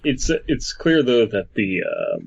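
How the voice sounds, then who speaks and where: A man's voice: lowish voice, wildly swooping pitch, slow, vocal fry, slightly rough, lo-fi sound. A man co-hosting a podcast, casually sharing his take on a story in everyday conversational speech. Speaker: podcast co-host. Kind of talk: casual chat, reviewing a story.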